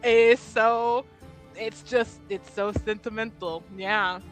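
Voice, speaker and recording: A woman's voice: a little high, fairly even intonation, slow pace, faint music behind. A woman playing a tabletop game, casually improvising narration of what her character does.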